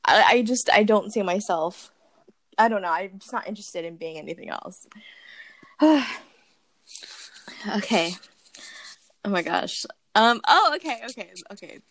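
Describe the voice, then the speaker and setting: A woman's voice: medium pitch, lively intonation, unhurried, pauses often, fairly bright tone, no room sound. A young woman hosting a casual question-and-answer chat, talking in a bubbly, giggly way with lots of fillers. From Philippines. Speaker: host.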